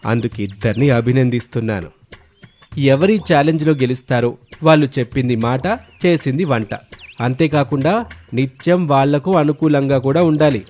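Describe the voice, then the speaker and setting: A man voicing a firm, encouraging middle-aged father-in-law in a cartoon moral story, speaking in a measured, emphatic way. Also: mid-range voice, a little mellow, faint music behind, lightly acted. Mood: formal announcing.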